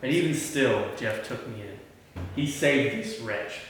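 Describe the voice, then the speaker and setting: A man playing a sadistic, fanatically religious torturer in a horror film table read, preaching with drawn-out menace. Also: mid-range voice, expressive, a bit bright. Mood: solemn menace. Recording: distant, echoey room with faint noise.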